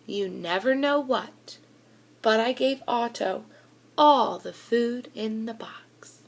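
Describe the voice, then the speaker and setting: A woman with a high voice reads a children's storybook aloud. She takes her time, with a deliberate, animated storytelling delivery that puts expressive emphasis on the words. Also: creaky, slight background noise. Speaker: school reader of children's books. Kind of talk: expressive children's picture book read-aloud.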